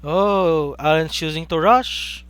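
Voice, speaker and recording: A young man from the Philippines with a Filipino accent, giving live play-by-play commentary on a card game stream, excitedly asking rhetorical questions and chatting with viewers. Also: fairly high pitch, slow pace, clean tone, dry recording.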